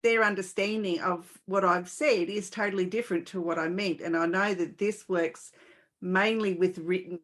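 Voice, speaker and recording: A woman's voice: lowish voice, steady tone, normal speed, a bit bright. A woman hosting an interview in a conversational way, phrasing her thoughts tentatively as she works toward the point. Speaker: podcast host, interviewer.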